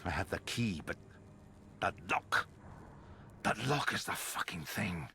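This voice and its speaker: Deep, condescending sorcerer villain. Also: male voice, big pitch swings, sharp tone, slight background noise, exaggerated voice. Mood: frustrated. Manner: disdainful, ominous, deliberate. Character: cold, condescending male sorcerer villain, grandiose and menacing. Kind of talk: video game cutscene acting.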